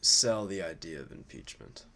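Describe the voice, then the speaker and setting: A man giving informal, off-the-cuff political commentary in a casual, musing way, like a streamer thinking out loud. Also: low-pitched, expressive, normal speed, creaky.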